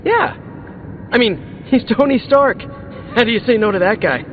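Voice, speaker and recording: A man's voice: very high voice, expressive, moderate pace, a little hiss or hum. An actor playing an eager, awkward young male superhero in a video game, earnest, chatty and a little hesitant. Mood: starstruck. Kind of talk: video game dialogue acting. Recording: tinny band-limited game audio, music behind.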